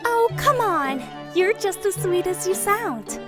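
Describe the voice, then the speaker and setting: A woman voicing a bubbly, sweet, excitable young girl in a fan audio drama. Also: very high voice, slow, music in the background, heavily acted. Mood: cheerful, admiring. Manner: gushing, excitable, exclamatory. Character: bubbly, sweet, energetic young girl who loves colors.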